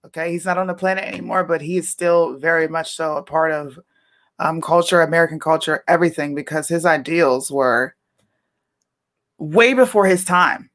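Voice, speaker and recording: A woman's voice: deep voice, moderate pace, a bit bright. A woman co-hosting a casual political chat, speaking emphatically and conversationally. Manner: emphatic, conversational, leans on "listen" and "okay". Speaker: podcast co-host.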